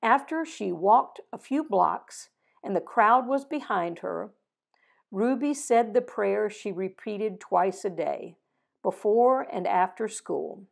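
An older woman reading a picture book aloud and closing with a prayer, gently voicing the dialogue, recorded over a video call at home. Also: mid-range voice, expressive, creaky. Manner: slow, gentle storytelling with voiced dialogue. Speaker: storybook reader. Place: home living room with fireplace.

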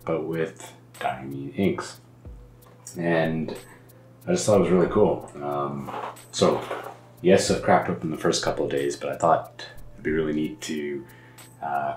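A man in his thirties with a lost, hoarse voice, chatting excitedly and rambling through a show-and-tell vlog from his home study. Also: extremely low pitch, reverberant. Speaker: stationery vlogger. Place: home study with lamps and bookshelves.

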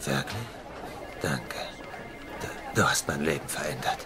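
An actor playing a bitter, sarcastic middle-aged janitor in a TV comedy dub, taunting with deadpan mock gratitude. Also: male voice, very deep voice, unhurried, music in the background, crowd noise. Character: bitter, sarcastic 37-year-old hospital janitor. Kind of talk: German-dubbed TV comedy acting.

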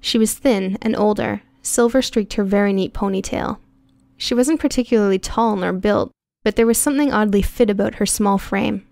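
A woman reading a prose story aloud in an even, clear storytelling voice, recorded close on a studio mic. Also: mid-range voice, normal speed, no echo. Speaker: audiobook narrator. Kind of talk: scripted narration.

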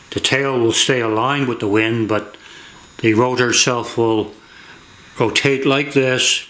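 An older man giving a hands-on how-to in a halting, deliberate, matter-of-fact way, recorded in a garage workshop. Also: medium pitch, fairly bright tone. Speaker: DIY demonstrator. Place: indoor garage workshop with hard floor. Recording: echoey garage room.

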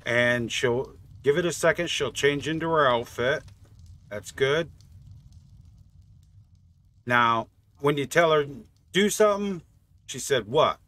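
A man's voice: mid-range voice, slow, long pauses, fairly bright tone. A man giving casual, offhand gameplay commentary, thinking aloud as a channel host. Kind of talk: casual game mod test commentary.

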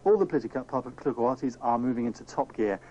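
A male radio presenter talks quickly in a relaxed, dark tone. The live link is heard on muffled tape with faint hum. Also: medium pitch.

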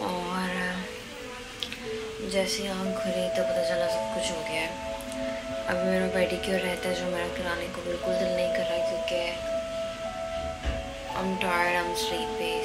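A young woman talking casually to her phone camera in a salon, as a vlogger, mixing English phrases into her talk. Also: mid-range voice. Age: young adult. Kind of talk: casual vlog narration. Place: beauty salon indoors. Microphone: phone held at arm's length.

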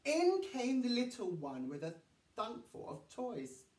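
Man, high-pitched storytime reader, sing-song, slow and very lively, distant mic. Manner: exaggerated, sing-song delivery for young children. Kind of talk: expressive storybook reading for children. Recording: roomy echo, distant microphone.

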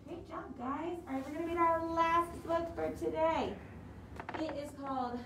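A woman with a high voice talks to young children as a storytime librarian. She keeps her words simple and encouraging. She sounds a little way from the mic in an echoey room, with clear noise in the recording. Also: normal speed. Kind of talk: read-aloud and instructions for young children. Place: library room with wooden walls and windows, indoors. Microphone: camera a few feet away, speaking through cloth mask. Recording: roomy, distant mic with strong noise.

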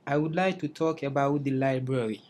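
A man's voice: medium pitch, normal speed, a little mellow, slight background noise. A young man from Haiti, an English learner, giving a careful class presentation over slides and restarting phrases as he searches for words. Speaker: English language student presenting.

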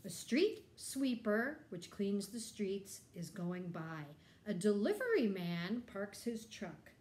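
A middle-aged woman reads a children's story in a lively, swooping voice. She pauses to explain things and put simple questions to young listeners. The room adds a noticeable echo. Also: mid-range voice, slight background noise. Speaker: teacher reading a picture book aloud to children. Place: bright indoor room.

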